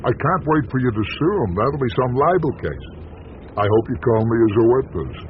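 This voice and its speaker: A man's voice: mid-range voice, very animated, fairly quick, lightly acted. An actor playing a world-weary, bitterly sardonic small-town American newspaperman in a radio drama, speaking with theatrical deliberation. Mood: wry, resigned. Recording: dull lo-fi radio broadcast, noisy.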